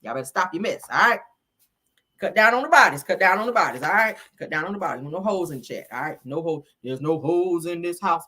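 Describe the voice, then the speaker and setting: Bright-voiced outspoken woman host. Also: lowish voice, expressive, fast, husky. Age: 30s. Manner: blunt, preachy, talks to chat. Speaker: live show host. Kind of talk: casual live-stream commentary.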